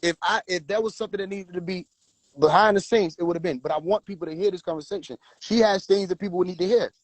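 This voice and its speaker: A man hosting a livestream, talking forcefully and preaching directly at his viewers. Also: high voice, fast talker, dry recording. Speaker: livestream host. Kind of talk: casual livestream talk.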